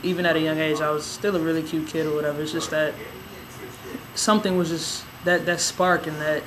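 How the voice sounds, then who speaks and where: A young woman with a raspy, hoarse voice telling a personal story in a relaxed, rambling way with many fillers. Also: sits low, fairly quick, background noise. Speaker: vlogger. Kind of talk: casual personal storytelling to camera.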